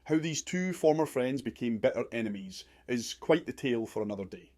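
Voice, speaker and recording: Man as a mellow, florid wrestling commentator. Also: medium pitch, lively intonation, brisk, no echo, clean studio sound, close to an everyday voice. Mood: dramatic commentary. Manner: florid, dramatic phrasing. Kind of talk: parody wrestling radio commentary.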